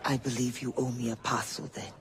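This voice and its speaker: A man's voice: mid-range voice, little pitch movement, a little fast, a little hiss or hum, close to an everyday voice. A man playing a shrewd, silken colonial informant, speaking with poised, teasing formality. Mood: cool, polite. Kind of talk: video game cutscene acting.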